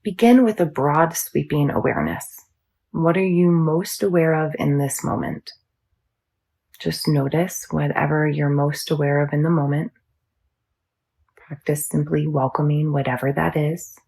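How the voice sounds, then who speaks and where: A woman teaching yoga nidra, guiding a meditation in a soothing, measured, instructional manner. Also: deep voice, vocal fry, warm tone, slightly rough. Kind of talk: guided meditation.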